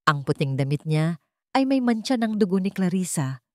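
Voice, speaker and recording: A woman's voice: on the low side, big pitch swings, normal speed, mellow, dry recording, clean studio sound. A woman storyteller reading a scripted romantic drama as narration.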